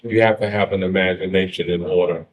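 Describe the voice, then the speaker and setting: A man from the eastern shore of Maryland with a deep voice, a comedian telling jokes and stories in a casual interview. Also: steady tone, quick pace, highs cut off. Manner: joking, laid-back storytelling.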